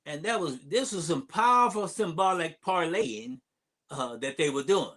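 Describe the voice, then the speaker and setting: An older man, an imam, giving an unscripted public address, telling stories from memory in a halting way with digressions. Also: a little high, expressive, a bit bright. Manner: halting delivery with long digressions. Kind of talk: unscripted storytelling address, reminiscing.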